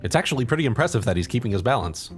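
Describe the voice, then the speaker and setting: A woman's voice: extremely low pitch, sing-song, rapid-fire. A woman reading a scripted second-person story as its narrator. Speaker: fan fiction narrator. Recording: faint music behind the voice.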